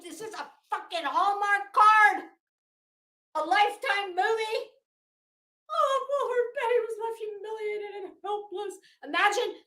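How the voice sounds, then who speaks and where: A high-pitched woman hosts a casual livestream, reading aloud and commenting in a sarcastic, theatrical way. She takes her time and swears freely. The room rings with echo around her voice. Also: pushed and bright. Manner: sarcastic, mocking, profane. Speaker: livestream host. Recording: echoey untreated room.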